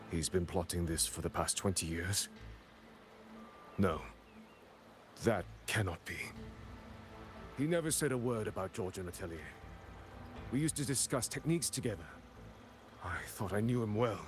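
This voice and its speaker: An actor playing an earnest, troubled male artisan in a video game, speaking in a deliberate way. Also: on the low side. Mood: disbelieving. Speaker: artisan character. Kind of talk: scripted video game dialogue.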